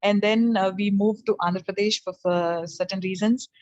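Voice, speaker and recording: A middle-aged woman from South India whose first language is Telugu, speaking softly over a video call with many fillers as she shares her personal story. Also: medium pitch, steady tone, normal speed, no room sound. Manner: soft-spoken, rambling, with frequent fillers and small laughs. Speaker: guest presenter. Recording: dull lo-fi call audio.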